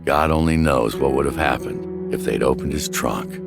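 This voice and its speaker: A man's voice: very deep voice, moderate pace, creaky. A man narrating a true-crime story in a dramatic, suspenseful scripted documentary style. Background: background music.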